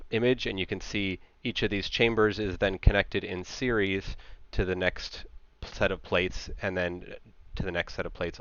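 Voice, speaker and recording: Low-voiced man presenting, slightly dull audio. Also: fairly bright tone, clean tone, no echo. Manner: explanatory, conversational. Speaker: conference presenter. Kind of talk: informal technical slide presentation. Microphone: headset.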